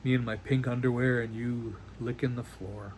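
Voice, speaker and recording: A man's voice: mid-range voice, steady tone, darkish tone, close to an everyday voice. Man acting a doting, rambling father, noisy recording. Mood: animated, fond. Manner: halting, earnest, rambling, with nervous stammers. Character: doting, rambling middle-aged father confessing to his daughter. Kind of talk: one-act play monologue performed on video call. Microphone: video call. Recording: noisy video call audio.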